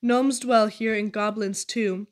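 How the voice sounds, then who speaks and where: A woman giving an expressive reading of children's poetry. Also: mid-range voice, slow pace. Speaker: poetry reader.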